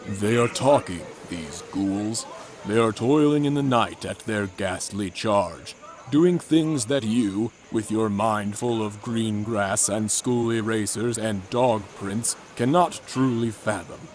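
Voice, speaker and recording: A man playing a grave narrator of a boys' mystery adventure, theatrical, mock-serious and deliberate in his dramatic reading. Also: lowish voice. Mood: ominous, grandiose.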